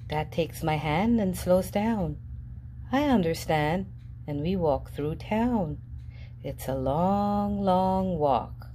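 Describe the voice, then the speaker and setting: A woman reading a children's picture book aloud in a lively, sing-song storybook voice with playful jumps in pitch. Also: a little hiss or hum. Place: indoors at a table, reading an open book. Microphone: camera above the book, reader unseen.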